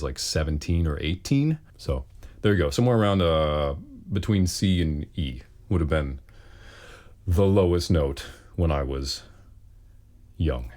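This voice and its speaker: A man with a very deep bass voice, a singer casually answering questions with wry, self-deprecating humor. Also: a little mellow. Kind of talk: casual Q and A talk.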